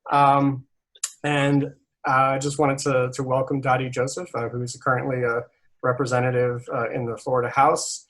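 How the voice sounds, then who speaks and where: A man hosting a candidate forum, speaking haltingly with many restarts and chuckling between points. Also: medium pitch, little pitch movement, fairly bright tone, poor audio. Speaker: forum host, moderator. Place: video call.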